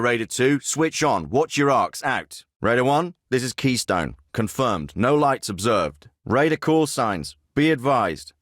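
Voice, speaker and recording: A man voicing a commanding special forces officer in a war game, who speaks in terse radio calls with a dry wit. His pitch moves a lot as he talks. The studio sound is close and dry. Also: fairly bright tone, no room sound, lightly acted. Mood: hushed. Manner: clipped military radio talk. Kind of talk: video game voice acting.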